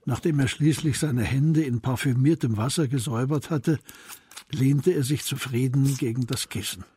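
A man's voice: medium pitch, normal speed, mellow, slightly rough. A man narrating a literary radio drama as a scripted storyteller. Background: faint music.

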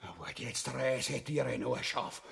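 Gravelly, coarse henchman. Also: medium pitch, unhurried, heavy background noise. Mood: furious. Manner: crude, slangy, blustering. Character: coarse, cowardly, blustering male henchman. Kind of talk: comic stage-parody radio drama.

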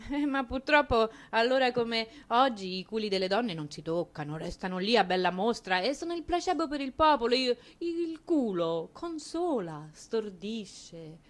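A woman's voice: a little high, moderate pace, few pauses. Ironic woman narrator, theatrical delivery. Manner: ironic, expressive. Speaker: narrator and stage performer.

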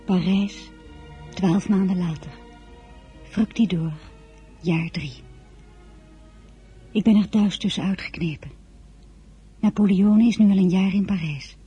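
A woman playing an earnest, lovesick French teenage girl in a radio drama, with a husky, breathy voice. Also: mid-range voice, slow pace, pauses often, warm tone, faint music behind, lightly acted. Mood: wistful. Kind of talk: radio drama acting with diary narration.

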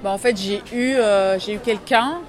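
A woman from Lebanon talks over the clatter and chatter of a busy café. She answers at an easy pace, pausing often to find her words, restarting her thoughts before she lands them. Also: medium pitch. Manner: thoughtful, many hesitations and restarts. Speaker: interview guest, actress and drama teacher. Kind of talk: casual interview in a café. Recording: noisy crowded café.

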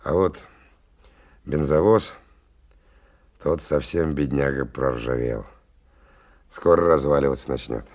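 An actor playing a gruff, wary stalker who gives terse orders and tells his story in a 1990 radio play. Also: a bass voice, takes it slowly, pauses often. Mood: reflective, narrating. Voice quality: gravelly, husky. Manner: terse, colloquial, commanding. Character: gruff, wary, bossy male stalker leading a dangerous expedition. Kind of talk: 1990 radio drama acting with first-person narration.

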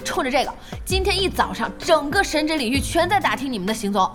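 An exasperated, feisty young boss in an audio drama. Her high voice leaps about as she scolds bluntly over music. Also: sing-song, fast talker. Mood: indignant. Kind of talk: Chinese audio drama acting. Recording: music behind the voice.

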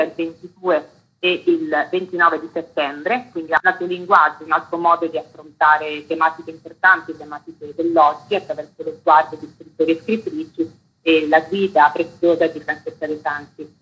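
A woman's voice: fairly low pitch, sharp tone, breathy edge, low-quality recording. A woman being interviewed on the radio as an artistic director, explaining a programme in detail.

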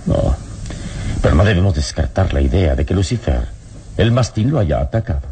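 An actor playing a noble, astute adventurer hero in a radio drama, speaking with solemn, measured authority. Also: male voice, sits low, very animated, warm tone, slight background noise. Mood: calm, resolute. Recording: old radio drama with music behind.

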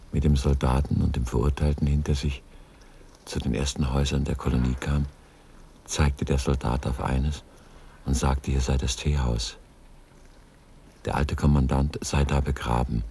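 Very deep, gravelly male narrator. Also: flat delivery, normal speed, dark tone, a little hiss or hum. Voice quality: gravelly, husky. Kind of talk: literary radio drama narration.

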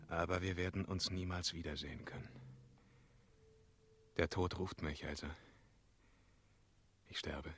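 Dying heroic knight, low, slow, husky, sorrowful, idealistic, theatrical radio drama delivery. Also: male voice, steady tone, a bit bright, full character voice. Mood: sorrowful, weakening. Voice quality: husky, breathy, gravelly. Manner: swings between halting whispers and impassioned declamation. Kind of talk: 1960s German radio play acting, dramatic monologue.

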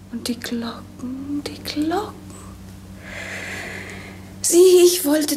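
An actress playing a melancholy, dreamy young princess in a 1950s German radio play, with lyrical, pensive delivery. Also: female voice, on the high side, a little mellow. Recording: noisy 1950s radio recording.

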